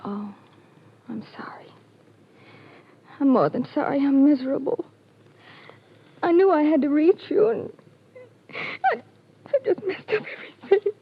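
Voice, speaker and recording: An actress playing a desperate young woman begging for help in a 1940s radio drama, pleading and often hushed. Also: high-pitched, very animated, measured pace, pauses often, no room sound, full character voice. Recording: lo-fi old radio broadcast, faint hiss.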